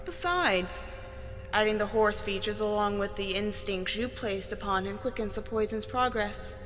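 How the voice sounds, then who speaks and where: A smug, vengeful villainess acted by a woman in an audio drama, scheming with relish at a middle pitch. Her voice rings in a long hall reverb over clear background music. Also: normal speed, lightly acted. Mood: gloating. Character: smug, vengeful villainous sister, a gleeful poisoner.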